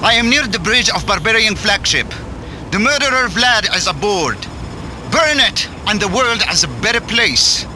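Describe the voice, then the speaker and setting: An earnest foreign ally radios in his reports in stiff, article-dropping phrases. His voice sits high, with loud vehicle noise around it. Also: lively intonation, normal speed. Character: formal male foreign ally, earnest and grandiose. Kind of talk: game cutscene radio report.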